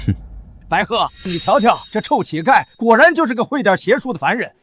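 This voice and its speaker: A man's voice: high voice, very animated, fairly quick, fairly bright tone, a little hiss or hum. An actor playing a scheming male Taoist fortune-teller in a fantasy drama, sneering and gloating.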